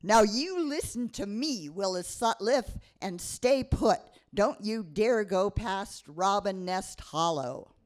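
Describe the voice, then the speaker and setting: A woman's voice: medium pitch, lively intonation, slow, few pauses. A woman voicing a bossy, bookish nine-year-old girl who narrates a story at a live reading.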